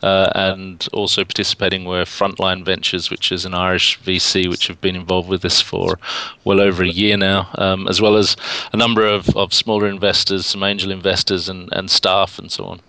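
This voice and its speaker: A man with an Australian accent, a startup founder, answering interview questions in a relaxed, matter-of-fact way. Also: sits low, normal speed, no echo.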